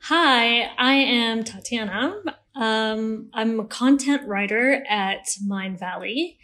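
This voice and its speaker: A woman from Malaysia with an American accent, talking as a podcast guest in a relaxed, talkative way with many ums and laughs. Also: fairly high pitch, slow. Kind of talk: casual interview.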